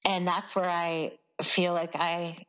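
A woman's voice: lowish voice, flat delivery, takes it slowly, fairly bright tone, smooth. A Canadian woman journalist talking as a guest in a casual interview, earnest and reflective, laughing often.

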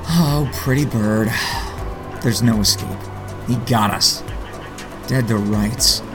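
A man's voice: medium pitch, slow pace, over music, close to an everyday voice. A man playing a wisecracking, confident archer vigilante in a superhero audio drama, wry and quippy. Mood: resigned.

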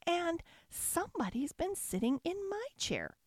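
A middle-sized mother bear from a storybook, voiced by a woman. The voice is raised in pitch and singsong, fussy and put out. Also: big pitch swings, unhurried, dry recording, clean studio sound, exaggerated voice.

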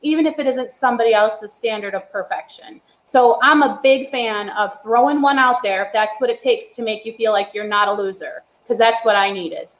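A woman writer talks in casual chat, blunt and emphatic as she hands out advice. Her voice sits a bit high, and the room around her is echoey. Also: normal speed, hardly any pauses. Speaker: podcast guest, writer.

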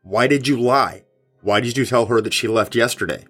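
Man playing suspicious male rocker, mid-pitched, insistent, confrontational, audio drama, faint music underneath. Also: normal speed, a bit bright, breathy edge. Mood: accusing, suspicious. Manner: insistent, accusing. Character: suspicious, confrontational male rock band member.

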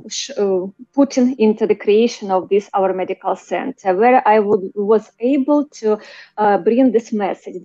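A woman from eastern Ukraine speaking English as a second language with a Ukrainian accent, explaining her work as an interview guest in halting phrases. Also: medium pitch, warm tone, dry recording. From Kharkiv, eastern Ukraine. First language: not English. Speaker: interview guest, physician and clinical researcher. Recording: dull, lo-fi audio.

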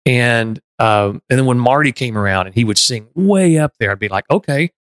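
A man's voice: medium pitch, animated, normal speed, a bit bright, no room sound. A middle-aged man from Texas, hosting a podcast in casual, earnest, reflective conversation. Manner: earnest, self-reflective, frequent restarts. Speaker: podcast host.

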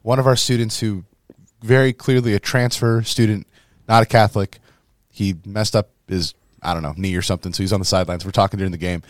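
A hoarse man, a priest co-hosting a podcast in rambling casual chat. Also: lowish voice, steady tone, brisk, dry recording, studio quality. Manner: rambling, storytelling with laughs.

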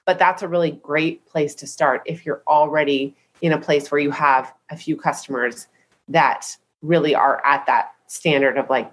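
Congested woman coaching on a call. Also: lowish voice. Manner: upbeat, chatty, says "right?" often. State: congested from a head cold. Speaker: business coach.

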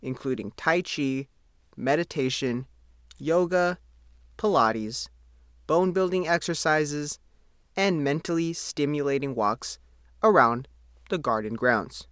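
A man's voice: a little high, no room sound, studio quality. An American man reading a scripted local news report as the reporter. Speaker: newspaper reporter reading own article.

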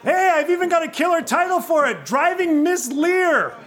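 Glib theatre adviser: high, swooping voice, flippant salesman's patter, condescending, comic audio play. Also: animated, moderate pace, fairly bright tone. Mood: exasperated. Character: pushy, glib male theatre pro giving advice. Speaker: actor. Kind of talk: comic podcast play dialogue.

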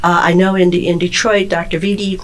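A woman's voice: on the low side, flat delivery, a little fast. A woman speaking as a panel guest, laying out her points step by step with emphasis in an earnest discussion. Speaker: panel guest, child and parent advocate.

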